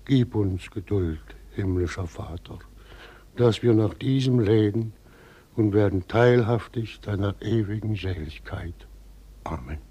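An actor playing a humble, pious old weaver, earnest and husky, speaking with dialect colouring in a radio play. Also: male voice, fairly low pitch, unhurried, warm tone. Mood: devout, pleading. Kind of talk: German radio play acting.

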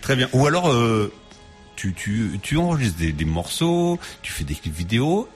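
A man's voice: medium pitch, very animated, moderate pace, soft background music. A man hosting a casual radio interview, chatty, joking and laughing often. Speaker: radio host.

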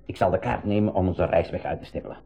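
A man's voice: sits low, fairly even intonation, quick pace, vocal fry, warm tone, breathy edge, full character voice. A man playing a genial, decisive older uncle and professor in a 1980s school radio play. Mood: genial, inviting. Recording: dull lo-fi radio recording.

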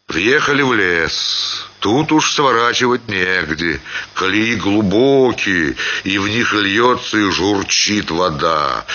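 A man reading a literary short story as expressive scripted narration, with dramatic, theatrical phrasing. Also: fairly low pitch, dull audio, slight background noise.

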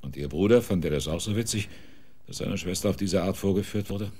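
A terse, persistent private eye, very low husky voice; radio play. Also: male voice, fairly quick, dark tone. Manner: terse, probing questions. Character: private detective Carvalho, dry, persistent. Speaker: detective. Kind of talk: German radio drama acting.

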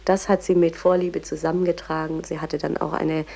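A cultured museum guide in a radio drama. Her low female voice is mellow and creaky. She explains in a measured, reverent way, devoted to her subject. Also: level tone. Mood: explanatory. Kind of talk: explanatory guided tour with verse recitation.

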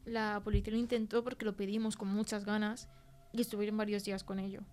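A woman's voice: mid-range voice, level tone, fast talker, darkish tone, lightly acted. A woman with a Spanish accent playing a film worker who gives conversational testimony as an interview guest. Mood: earnest. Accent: Spain. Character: female film crew worker recounting a frightening experience.

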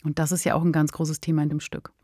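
Low-voiced woman podcaster, very dry sound. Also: level tone, fast, warm tone, breathy edge, no echo. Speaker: podcast co-host. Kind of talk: conversational analytical podcast discussion.

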